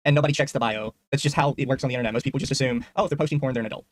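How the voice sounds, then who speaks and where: Male commentator, rapid, argumentative, dry close sound. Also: mid-range voice, no echo. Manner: rapid, argumentative, filler-heavy. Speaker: commentator in played clip. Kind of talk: group commentary discussion.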